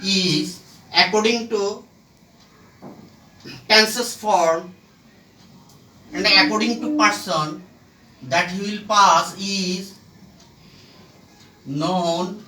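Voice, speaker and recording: A teacher explaining English grammar step by step, in short halting phrases broken by pauses. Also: mid-range voice, pushed and bright. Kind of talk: slow explanatory grammar lesson. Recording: roomy echo, distant microphone.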